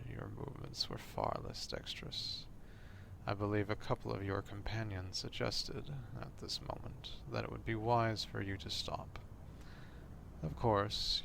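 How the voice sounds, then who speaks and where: A man voicing an obsessive, courtly vampire stalker in an ASMR roleplay, speaking formally and deliberately in a confiding, half-whispered way. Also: lowish voice, gravelly, background noise. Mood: hushed, ominous.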